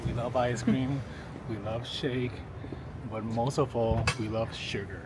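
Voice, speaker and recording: A man's voice: mid-range voice, steady tone, unhurried, loud music behind the voice. A man speaking in casual vlog banter.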